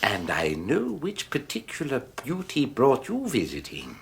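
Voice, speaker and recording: A fawning shopkeeper who sells old treasures in a radio drama. He talks slowly and lingers over each word, and his pitch swoops from low purrs up into high, coaxing notes. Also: huge pitch swings, vocal fry, heavily acted. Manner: wheedling, sing-song, drawn-out. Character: oily, fawning old male antique dealer. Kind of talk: 1980s radio drama acting.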